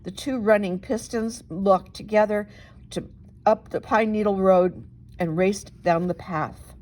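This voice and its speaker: A woman narrates a children's story in a medium-pitched voice. She takes her time over the phrases. Her delivery is expressive and deliberate, leaning dramatically on the descriptive words. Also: poor audio. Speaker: storybook reader, reverend.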